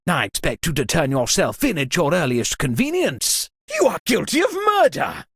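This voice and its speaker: A man's voice: fairly high pitch, huge pitch swings, normal speed, a bit bright, slightly rough, no room sound, clean studio sound, heavily acted. A man voicing a pompous, flippant wealthy lord hosting a murder-mystery party in a comedic video game, with theatrical, mock-genteel delivery. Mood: mildly scolding, then indignant accusing.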